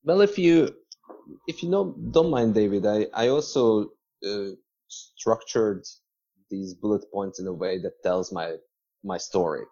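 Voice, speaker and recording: A man speaks in a fairly low voice, sharing a personal story as an interview guest. He pauses often between short phrases, and the sound is slightly dull and lo-fi. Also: warm tone. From Hungary. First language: Hungarian. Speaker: podcast guest, entrepreneur.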